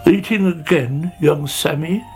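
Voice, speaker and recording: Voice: mid-range voice, unhurried, dark tone, soft background music, natural voice. A storyteller playing a gloomy, scolding male snail in a children's story, with a sighing manner. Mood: disapproving. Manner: sighing, disapproving.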